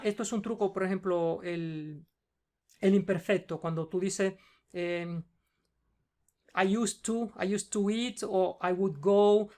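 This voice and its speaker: A Spanish teacher with a Cuban accent, patiently going through a student's homework and checking in often. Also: female voice, on the low side. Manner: patient, explains step by step, checks in with "ok?".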